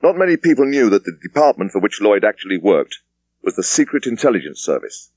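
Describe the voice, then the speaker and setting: English guy narrating a thriller audiobook, lowish voice, measured and precise, super dry close sound. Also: sing-song, a little mellow, dry recording.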